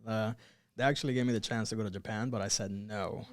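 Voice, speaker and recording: A man in his twenties, a podcast guest born in Cuba and raised in Miami, telling stories in a relaxed, rambling way with many fillers. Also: on the low side, quick pace. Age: 20s. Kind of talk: casual chat, telling stories.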